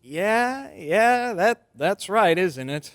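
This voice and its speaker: An actor playing a sheepish, well-meaning gentleman neighbor in an old-time radio drama, hesitant and apologetic. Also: sits high, lively intonation, drawn-out words, heavily acted.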